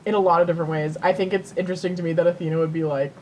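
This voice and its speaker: Slangy, wry woman over rumbling noise. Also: fairly even intonation, very fast, a little mellow. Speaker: podcast co-host. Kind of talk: casual banter and commentary.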